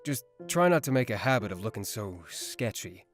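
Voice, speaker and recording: A man's voice: lowish voice, sing-song, normal speed. An actor playing a teasing, smug, mysterious man in his twenties in a visual novel, with music behind him. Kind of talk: visual novel character acting. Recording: background music under voice.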